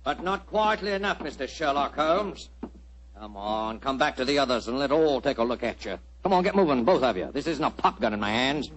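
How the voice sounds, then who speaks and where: An actor playing a gloating, menacing ringleader of assassins in an old radio drama, sneering and taunting with mock politeness. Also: male voice, mid-range voice, expressive, brisk, fairly bright tone, a little hiss or hum, natural voice. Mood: gloating, threatening. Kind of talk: 1940s radio drama acting. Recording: old-time radio broadcast recording.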